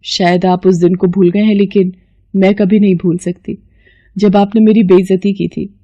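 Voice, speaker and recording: A woman voicing an earnest, polite young aspiring model in a moral story, speaking haltingly and mixing English into Hindi. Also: medium pitch, flat delivery, dark tone, muffled recording, natural voice. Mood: composed, firm. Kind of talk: dramatised moral-story acting.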